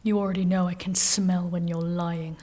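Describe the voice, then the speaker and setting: An actress playing a fierce, guarded warrior woman in a dark fantasy audio drama, terse and intense. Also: lowish voice, flat delivery, normal speed, close to an everyday voice. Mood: furious, defiant.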